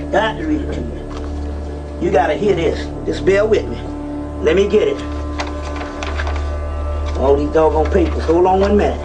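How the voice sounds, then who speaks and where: A man giving a fiery, informal lecture to a live audience, preaching emphatically and repeating phrases, often with profanity. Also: sits high. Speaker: lecturer on esoteric teachings. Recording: distant live lecture recording, music over it. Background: music.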